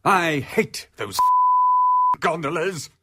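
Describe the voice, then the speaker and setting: A man's voice: a little high, animated, slow, heavy background noise. A man playing a pompous, officious army officer in a radio comedy sketch, clipped and erratic. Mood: dreamy, then irritated.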